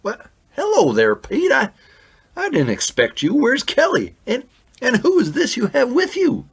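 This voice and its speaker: Man playing an animated, earnest raccoon detective. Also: very high-pitched, a little slow, gravelly, husky, full character voice. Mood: flustered. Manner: polite, businesslike, flustered when surprised. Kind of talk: children's audio drama.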